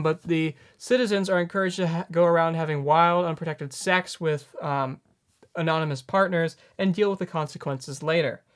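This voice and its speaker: A man in his late teens hosting a news commentary, earnest and halting as he corrects himself. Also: a little high. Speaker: news host.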